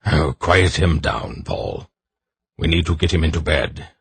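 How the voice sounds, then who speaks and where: A man voicing a gruff old man who barks terse orders, with a husky voice. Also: rumbling low, lively intonation, measured pace, no echo, full character voice. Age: older.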